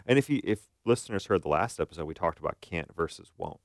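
A man's voice: low voice, lively intonation, quick pace, no room sound, clean studio sound. A man hosting a podcast in a casual conversational style, thinking aloud and often checking for agreement. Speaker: podcast host.

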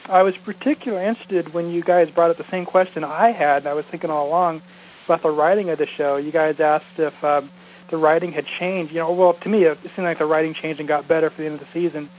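A man's voice: on the high side, fairly even intonation, quick pace. A man who podcasts, leaving a casual, rambling message over a muffled phone line. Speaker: podcaster calling in. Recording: muffled phone voicemail, faint noise.

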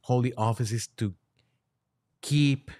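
A man speaking as a priest and podcast guest, halting as he discusses religion and poses questions. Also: medium pitch, darkish tone.

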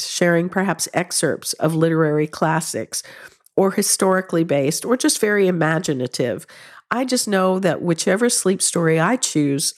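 A woman hosts her own podcast in an easy, earnest, conversational manner. She is congested from a head cold, and her throat sounds raw and slightly hoarse. Her voice sits on the lower side. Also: a little mellow. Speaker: podcast host. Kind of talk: solo podcast talk with ad read.

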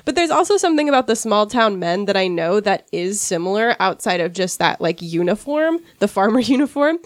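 A woman's voice: mid-range voice, very animated, a little fast, no echo, studio quality. A woman from rural Washington hosting a casual podcast discussion, sniffly from a cold and chatty, with wry asides. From rural Washington, USA. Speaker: podcast host.

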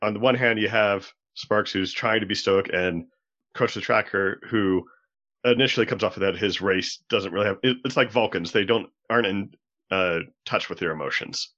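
A man's voice: on the low side, normal speed, a bit bright. A man on a podcast panel explaining ideas analytically, piecing them together as he goes, on slightly lo-fi audio.

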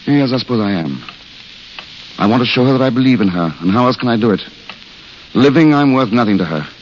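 Casting: male actor as courteous, fatalistic dreamer, mid-pitched literary delivery, vintage radio drama, muffled and hissy. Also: expressive, brisk, close to an everyday voice. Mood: fatalistic, romantic. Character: world-weary romantic idealist, eloquent and fatalistic. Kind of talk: 1930s-40s radio drama acting. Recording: hissy, dull old radio recording.

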